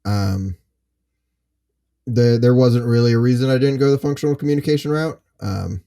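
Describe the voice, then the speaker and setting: Candid man thinking out loud. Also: medium pitch, normal speed, gravelly. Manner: hesitant, candid, thinking aloud. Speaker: panel participant. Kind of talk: casual discussion.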